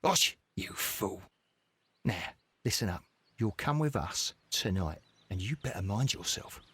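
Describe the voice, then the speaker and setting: Curt, menacing gang boss. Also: male voice, lowish voice, animated, unhurried, a bit bright, husky, exaggerated voice. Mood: bossy, conspiratorial. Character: suspicious, bossy male criminal gang leader. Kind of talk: scripted video game acting.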